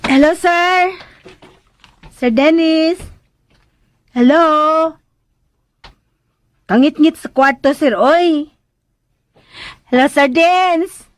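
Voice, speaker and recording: An actress playing a cheeky, pleading young caretaker in a radio drama, blurting things out in hesitant, chatty back-talk. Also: female voice, high-pitched, very slow, long pauses, dry recording. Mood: nervous coaxing.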